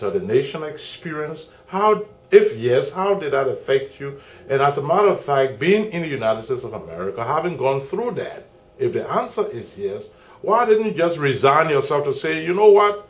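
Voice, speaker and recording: A man's voice: fairly high pitch, rough recording. Chatty man hosting a community TV interview. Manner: chatty, expansive, warm welcoming patter. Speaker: interviewer and show host. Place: small makeshift home studio.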